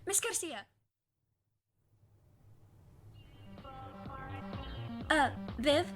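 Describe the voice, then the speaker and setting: A woman's voice: high-pitched, animated, unhurried, music in the background. A woman playing an earnest, plucky fifteen-year-old girl in an audio drama, with a literal, matter-of-fact manner. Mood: questioning.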